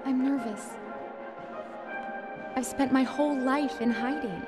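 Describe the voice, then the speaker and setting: A woman playing a gentle, anxious young princess in a video game cutscene, speaking softly and hesitantly with formal politeness. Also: high-pitched, slow pace. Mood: nervous, doubtful. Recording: loud music under the voice.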